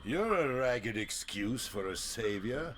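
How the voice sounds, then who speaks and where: A man's voice: medium pitch, animated, fairly bright tone, a little hiss or hum. A man playing an ancient, imperious and suspicious vampire lord in a video game, speaking in a haughty, sardonic, theatrical way. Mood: sardonic, probing. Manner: slow, haughty, sardonic, sweeping delivery.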